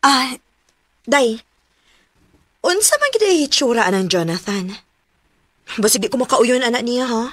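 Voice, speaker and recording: Babayeng nag-arte og batan-ong dalaga, taas og tingog. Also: female voice, on the high side, big pitch swings, unhurried, pauses often, fairly bright tone, no echo, clean studio sound, heavily acted. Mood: curious, coy. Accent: Cebuano. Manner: teasing, exclamatory, giggly. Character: giggly, hesitant young single woman wary of heartbreak. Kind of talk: radio romance drama acting.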